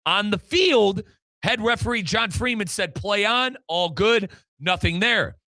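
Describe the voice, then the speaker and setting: A man with an American accent hosts sports talk in a high-pitched voice. The sound is dry and close, and his tone is bright and forceful. He is emphatic and colloquial as he recaps the action. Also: lively intonation, measured pace, no echo. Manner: emphatic, colloquial, addresses listeners and co-host directly. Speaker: sports show host and radio play-by-play commentator.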